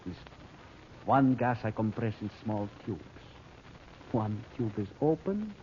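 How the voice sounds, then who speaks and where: Grandiose radio villain, muffled old recording. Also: male voice, on the low side, very animated, measured pace, dark tone, a little hiss or hum. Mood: grandiose, scheming. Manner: grandiose, declamatory. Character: megalomaniac villain scientist, cruel, grandiose, menacing. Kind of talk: old-time radio serial acting.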